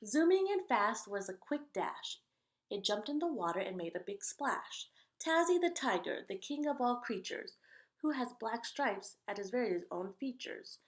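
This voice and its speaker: A woman's voice: fairly high pitch, very animated, normal speed, low-quality recording. Woman reading a children's picture book aloud. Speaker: children's story read-aloud host. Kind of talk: picture book read-aloud with casual asides.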